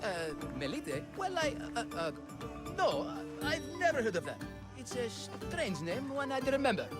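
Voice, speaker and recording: Brief: scheming game-world huckster, very high excitable voice, swooping theatrical pitches, music underneath. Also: male voice, unhurried, exaggerated voice. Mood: flustered, defensive. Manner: grandiose showman delivery, flustered stammering when challenged. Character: flamboyant scheming Greek showman hawking monster trials. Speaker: carnival-style promoter. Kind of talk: theatrical video game acting. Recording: music behind the voice.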